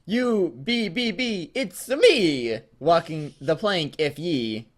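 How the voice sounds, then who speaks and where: A man's voice: a little high, lively intonation, slow pace, voice pushed up. A man voicing a broken animatronic pirate fox with a stammering, glitchy delivery and hammy pirate talk. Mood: pleading, halting. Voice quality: glitchy, stuttering.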